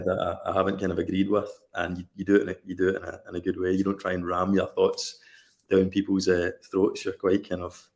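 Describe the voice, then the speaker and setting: A man hosting a casual chat with a friend, chummy and loose, calling him mate. His voice sits fairly low. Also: creaky. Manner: chummy, calls guest mate. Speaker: YouTube show host.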